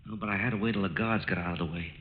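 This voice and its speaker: A man's voice: low-pitched, steady tone, very fast, lightly acted. An actor playing a nervous, plain-spoken man helping on a stakeout in a 1940s radio drama. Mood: jittery, curious. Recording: dull, hissy old radio recording.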